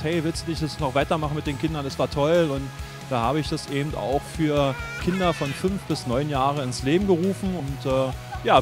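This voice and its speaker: A man from Berlin, founder of a children's self-defence course, explaining his work in an interview with lots of hesitant fillers. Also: mid-range voice. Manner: halting, many fillers. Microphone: handheld interview mic.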